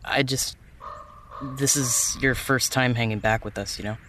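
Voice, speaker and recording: A supportive teammate in a naturalistic fiction podcast. His voice sits at a medium pitch, casual, gentle and frank, over faint background noise. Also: steady tone, unhurried. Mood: gently concerned. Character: supportive, casual male teammate waiting at base.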